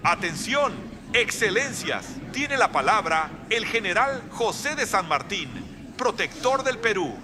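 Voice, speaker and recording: An actor playing a formal congress announcer calling an assembly to order, proclaiming ceremoniously in a historical audio drama. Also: male voice, high-pitched, very animated, measured pace. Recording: loud music and crowd noise behind.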